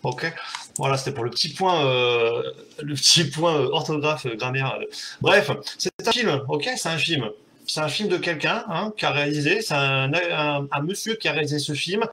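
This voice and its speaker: A man's voice: fairly high pitch, lively intonation, normal speed, pushed and bright. A man hosting a casual live stream from home, rambling and self-mocking with many fillers, his keyboard audible on the desk mic. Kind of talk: casual live chat with viewers. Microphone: desk mic, typing audible.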